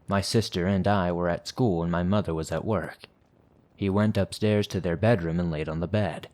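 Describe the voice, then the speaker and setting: A man hosting a horror podcast, reading listener stories aloud in a steady, measured way. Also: low-pitched. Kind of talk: scripted horror story narration.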